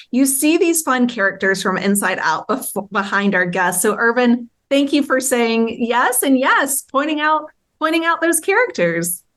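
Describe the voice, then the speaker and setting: A woman's voice: on the high side, a bit bright. A woman hosting an interview podcast, speaking in an upbeat, enthusiastic and effusive way. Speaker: podcast host.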